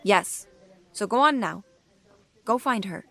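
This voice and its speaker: An actress playing a steady, frank woman police captain with a dry manner, in an audio drama. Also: medium pitch, slow, gravelly, full character voice. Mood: resolute, supportive. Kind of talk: audio drama acting, naturalistic dialogue.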